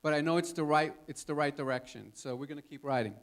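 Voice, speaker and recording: A man's voice: on the high side, quick pace. A man in his fifties speaking informally as a guest in an audience question session at an expo, in a conversational way. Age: 50s. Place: expo stage before a live audience. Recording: live stage talk with audience noise.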